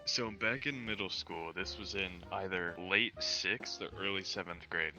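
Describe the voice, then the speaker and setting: A man's voice: deep voice, unhurried, music in the background, poor audio. A young man casually telling an anecdote to friends, crude and full of swearing. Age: young adult. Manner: crude, profane.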